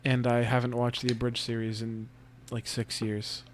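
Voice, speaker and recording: A man's voice: mid-range voice, monotone, moderate pace, slight background noise. Laid-back man in his twenties. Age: 20s. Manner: laid-back, filler-heavy, crude joking. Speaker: podcast co-host. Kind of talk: casual chat.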